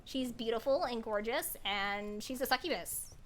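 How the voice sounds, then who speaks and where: A woman chatting casually as a livestream host, bubbly, scattered and excitable. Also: fairly high pitch, normal speed, a little hiss or hum, poor audio. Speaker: streamer, host of a story-reading livestream.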